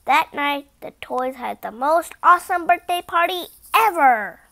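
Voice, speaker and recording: A young girl with a high voice reads a storybook aloud. She goes slowly and carefully, leaning on words for expression. Now and then she stumbles like a new reader. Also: vocal fry. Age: child. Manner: careful beginner reading with stumbles and expressive emphasis. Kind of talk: storybook read-aloud with spontaneous asides.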